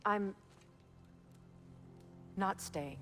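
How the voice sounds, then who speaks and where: A woman's voice: mid-range voice, drawn-out words, music in the background. An actress playing a proud, wounded, resolute goddess and exiled queen in a video game, with solemn, emphatic delivery. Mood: quietly hurt. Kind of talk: dramatic video game acting.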